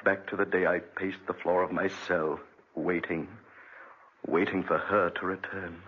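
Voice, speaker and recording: A man's voice: sits low, flat delivery, unhurried, breathy edge. An actor playing a guilt-ridden, bitter Englishman with a brooding, dramatic delivery in a 1940s radio drama. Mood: brooding, haunted. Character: haunted, guilt-ridden Englishman, bitter former debtor prisoner. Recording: dull restored 1940s radio recording.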